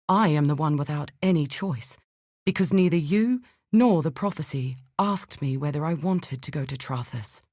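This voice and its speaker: An earnest, scholarly woman from a fantasy video game, a survivor of a fallen land. Her voice is fairly low and husky, very closely and dryly recorded. She delivers scripted lines in measured, articulate phrases with a grave weight. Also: a little mellow, dry recording, studio quality. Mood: solemn, resolute. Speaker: game character giving lore and quests.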